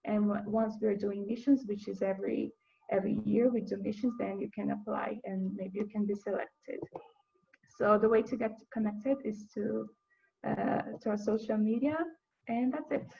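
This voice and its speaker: A young woman from Brazil, a university student giving an earnest, halting webinar presentation with frequent restarts. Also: medium pitch, fairly even intonation, gravelly, mellow, lo-fi sound. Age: young adult.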